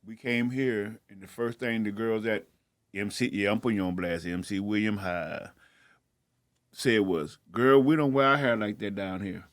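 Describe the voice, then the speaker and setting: A man's voice: lowish voice, moderate pace, no echo, clean studio sound. A man with a stammer telling personal stories in casual conversation, speaking plainly in everyday vernacular. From grew up in Baltimore, then Houston. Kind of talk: casual storytelling.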